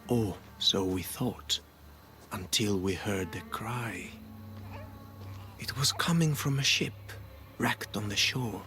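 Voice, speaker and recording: An actor playing a philosophical, quietly menacing cult storyteller in a video game, speaking in a measured, eloquent, theatrical way. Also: male voice, on the low side, slight background noise. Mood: calm, grave. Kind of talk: video game dramatic acting. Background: music.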